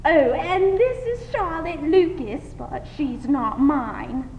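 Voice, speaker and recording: A woman playing an effusive, excitable middle-aged mother in a theatrical 1920s radio drama parody. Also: high-pitched, slow, exaggerated voice. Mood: gushing. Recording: muffled, reverberant, faint hiss.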